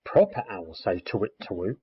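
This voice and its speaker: A man voicing a proud, bossy little girl who lectures in a high storybook voice, on a lo-fi recording. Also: sing-song, normal speed, slight background noise.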